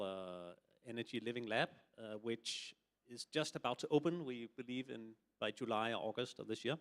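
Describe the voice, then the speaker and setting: A middle-aged man from Denmark answers on a forum panel, pausing often on fillers as he explains. His pitch sits at an ordinary middle level for a man. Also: smooth. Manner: hesitant, many filler sounds. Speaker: sustainable building consultant, forum panelist.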